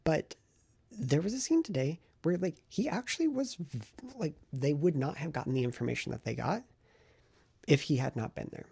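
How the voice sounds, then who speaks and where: A man with a heavily fried, husky voice talks in a loose, conversational vlog style. He thinks aloud, circling back over his points in a self-reflective ramble. The sound is dry, with very little room around the voice. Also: on the high side, sing-song, moderate pace, a little mellow, dry recording. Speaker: writing vlogger and novelist. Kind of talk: casual talking-head vlog.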